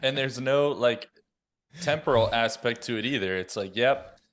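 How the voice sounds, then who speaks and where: A man's voice: medium pitch, normal speed, a bit bright. A man on a podcast panel, arguing his points casually in a wry way. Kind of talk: casual discussion.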